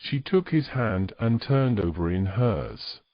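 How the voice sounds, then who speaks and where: A man's voice: lowish voice, expressive, takes it slowly, dry recording, lo-fi sound. A man reading scripted narration for a romantic fantasy story as its narrator.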